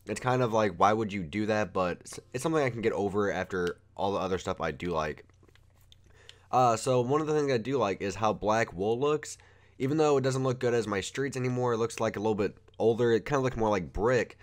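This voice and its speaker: Young man with a cold, low-ish voice, loose rambling game commentary. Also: brisk. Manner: rambling, filler-heavy, easygoing. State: has a cold. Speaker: Minecraft builder reviewing a texture pack. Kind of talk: casual gameplay commentary.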